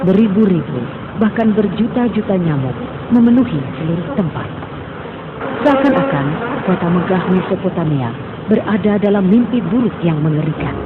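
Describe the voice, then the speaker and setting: A man narrating a religious cartoon story in a grave, measured way. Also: high-pitched, lively intonation, heavy background noise. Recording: phone-like sound under loud music.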